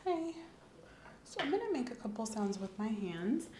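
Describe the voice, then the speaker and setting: A woman speaking softly and at times whispering in an ASMR medical roleplay, giving gentle, reassuring instructions as a doctor. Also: fairly high pitch, very animated, brisk, roomy sound, a little hiss or hum. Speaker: ASMR performer playing a doctor doing a medical exam.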